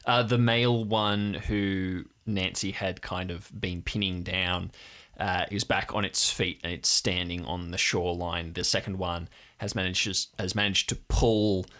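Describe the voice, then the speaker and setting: A man's voice: low-pitched, flat delivery, a little slow, bright, forceful tone. A man working as game master, improvising vivid second-person narration of a tabletop adventure. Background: music.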